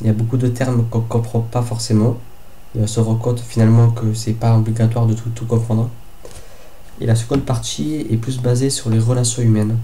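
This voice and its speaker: Young man with a cold, dark flat voice. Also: medium pitch, fairly quick, slight background noise. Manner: hesitant, lots of fillers and restarts. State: has a head cold. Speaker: book vlogger. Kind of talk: casual book review vlog.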